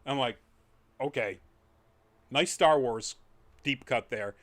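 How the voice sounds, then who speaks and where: A man's voice: mid-range voice, slow pace, a bit bright. Male podcast host, mid-range voice, conversational reviewer who rambles and drifts into tangents. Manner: rambling, trailing off into asides. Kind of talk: casual chat review.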